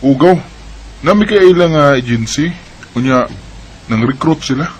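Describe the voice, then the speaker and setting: A man's voice: on the high side, expressive, moderate pace, close to an everyday voice. A man with a Bisaya Filipino accent playing an easygoing young village cousin in a radio drama. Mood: chummy. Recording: faint background noise.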